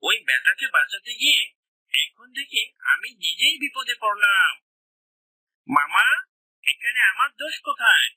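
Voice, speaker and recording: A sly cartoon fox, acted out by a voice performer, speaking in a piercingly bright, forceful voice. It is anxious and pleading, exclaiming its words emphatically. The sound is dry and close, though a little blurred. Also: mid-range voice, very animated, unhurried, pauses often, breathy edge, dry recording, heavily acted, poor audio. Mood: frightened, pleading. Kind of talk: children's cartoon voice acting.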